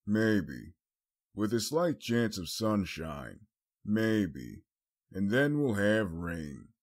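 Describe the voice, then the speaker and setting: Man doing a drawling, husky mock TV announcer. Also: fairly low pitch, big pitch swings, heavily acted. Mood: dull, deadpan. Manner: dull or mock-serious, drawn-out phrases. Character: playful male pony mimicking television announcers and shows.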